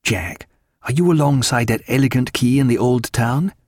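A man as a courteous, dry period gentleman surgeon. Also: medium pitch, animated, slightly rough, no room sound. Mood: polite, composed. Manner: formal, measured period phrasing. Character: courteous, learned, dry-humoured male ship's surgeon, affectionate husband.

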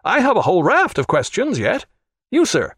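A man voicing an officious, excitable interrogator with a pompous, fussy, formal manner in an audiobook. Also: high-pitched, normal speed, breathy edge, no room sound, pitched above the performer's own voice, exaggerated voice. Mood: insistent.

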